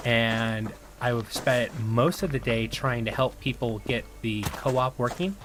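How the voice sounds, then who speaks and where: Guy rambling through a game for his viewers, voice kinda shot today, noisy mic with clicks. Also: medium pitch. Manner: rambling, chatty, talks to viewers while playing. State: losing his voice. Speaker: gaming video host. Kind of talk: casual gameplay commentary.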